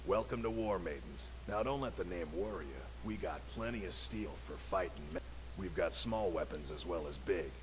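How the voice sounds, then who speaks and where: An actor playing a burly, businesslike weapons merchant in a fantasy video game. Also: male voice, low-pitched, animated, moderate pace. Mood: welcoming salesman. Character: burly male weapons shopkeeper, welcoming and businesslike. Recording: game audio under heavy noise and hum.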